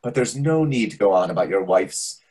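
A man's voice: lowish voice, lively intonation, moderate pace, a little mellow, slightly rough. A man playing a vain, catty, sarcastic waiter of about forty in a readers theatre comedy over a video call. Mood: huffy.

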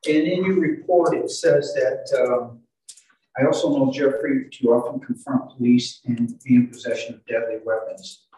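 An older male attorney questions a witness haltingly, often doubling back on his words. His voice is dark and mellow, picked up from a distance in a very echoey space. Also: mid-range voice, steady tone. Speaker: defense attorney cross-examining. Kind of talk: courtroom cross-examination. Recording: very echoey, distant video call audio.

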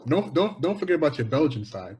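A man's voice: a little high, sing-song, fairly quick, darkish tone. A man coaching and interviewing a guest over a video call from a room at home, upbeat and prompting with questions. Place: home room with pictures on wall.

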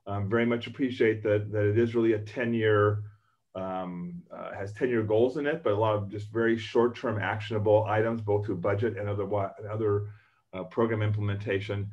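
A hoarse man giving unscripted welcome remarks as a government official on a video call, halting with many fillers and restarts. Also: fairly low pitch, fairly even intonation, a little mellow. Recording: muffled video call audio.

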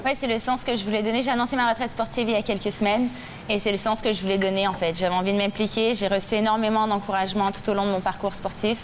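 A woman's voice: mid-range voice, flat delivery, racing, slight background noise. A young woman from Canada, a studio guest answering questions in a friendly, earnest way with some restarts. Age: young adult. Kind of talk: casual interview answers. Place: large TV studio with live audience. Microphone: lapel.

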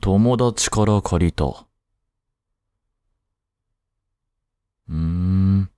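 A man playing an aloof young boyfriend in an intimate voice drama, grumpy but soft-hearted, speaking haltingly close to the mic. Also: deep voice, clean tone, no room sound. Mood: curt, dismissive. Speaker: amateur voice drama creator and actor.

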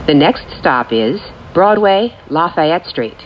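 A woman reading scripted automated subway announcements in measured, clearly separated phrases. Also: low-pitched, sing-song. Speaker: transit announcer. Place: recorded off speakers inside a subway train. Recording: muffled capture with train noise. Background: train ambience.

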